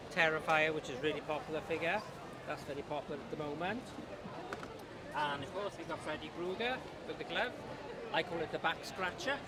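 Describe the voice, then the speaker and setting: A man with a Cardiff accent, a stall owner chatting upbeat and rambling in an interview at a convention. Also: a little high. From Wales. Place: busy convention hall. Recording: loud background noise.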